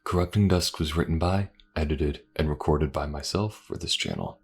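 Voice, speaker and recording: A man's voice: rumbling low, moderate pace, vocal fry, husky. A man who created the show, reading scripted thanks and credits as its narrator.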